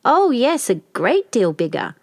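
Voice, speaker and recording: A woman's voice: fairly high pitch, big pitch swings, unhurried, no echo, studio quality, pitched above the performer's own voice. A woman voicing the littlest billy goat in a storybook, young and timid, with a small, polite, pleading voice. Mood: eager persuasion.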